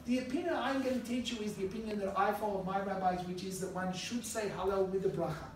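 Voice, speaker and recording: A middle-aged man teaches a class in a relaxed, storytelling way, turning now and then to speak directly to his students. He is recorded from across the room, so his voice bounces around a very echoey classroom with a faint hiss underneath. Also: high voice, fast, recorded from a distance. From Australia. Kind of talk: conversational classroom lecture. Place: classroom with a live audience.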